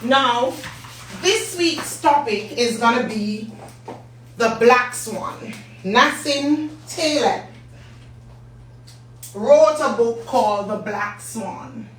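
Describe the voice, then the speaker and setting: Hoarse Jamaican woman hosting slowly. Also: a little high, animated. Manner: warm, chatty, greets viewers by name. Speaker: business talk show host. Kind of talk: casual live-stream talk. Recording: echoey room, distant mic, faint hum.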